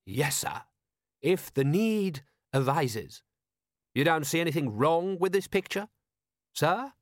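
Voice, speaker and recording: An eager, patriotic butler as a male narrator plays him, pitched high and very deferential. He speaks formally and earnestly, in a lively storybook delivery with a dry, close sound. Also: slow pace, dry recording. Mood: polite insistence.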